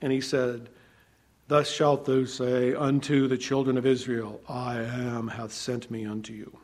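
A man preaching a sermon, reading scripture aloud and explaining it conversationally, shifting between loud emphasis and quiet asides. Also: mid-range voice, level tone, a little slow. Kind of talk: sermon, Bible teaching.

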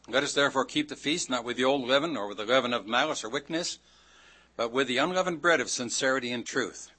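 Preacher, male, mid-pitched, bright and forceful tone, folksy and self-deprecating, slows down for scripture. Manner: reads scripture aloud slowly. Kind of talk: sermon.

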